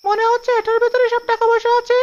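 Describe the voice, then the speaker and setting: An actor voicing a squeaky village thief boss in a cartoon, boastful yet hesitant and excitable. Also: fast. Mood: eager, unsure. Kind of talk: cartoon dialogue. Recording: dull lo-fi audio with music behind.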